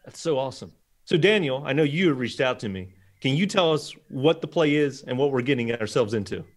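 A man in his thirties hosts a chat in a casual, upbeat way. His voice sits at an average pitch, picked up by a studio desk mic. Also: animated, brisk. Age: 30s. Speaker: show host. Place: small wood-panelled studio, indoors. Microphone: desk studio microphone.

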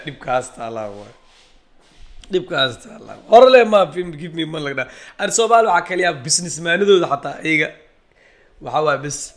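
A young man with a high, lively voice talks fast as he reacts to a clip, swinging up and down in pitch, laughing and mimicking the people in it. He speaks from a small home studio. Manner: animated, laughing, mimicking others. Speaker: comedy YouTuber. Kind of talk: reaction commentary.

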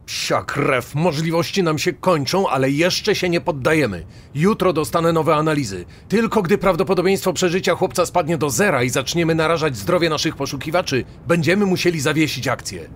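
Guy acting stressed defiant sheriff in audio drama, fairly high voice, annoyed. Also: lively intonation, a little fast. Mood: irritated, determined. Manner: irritated, determined. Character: harried, defiant male sheriff running a search. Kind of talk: true-crime podcast dramatisation.

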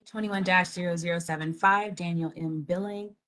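A woman reads out bill numbers, names and board titles as clerk of a council meeting, speaking on a video call. Her reading is measured and formal, in a slightly low register. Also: fairly even intonation, a bit bright, slight background noise. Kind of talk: reading agenda items aloud.

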